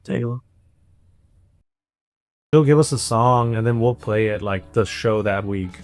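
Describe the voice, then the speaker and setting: A man's voice: on the low side, unhurried, faint music behind. A man, an indie band musician, chatting casually and jokingly in an interview. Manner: joking, banter with bandmate.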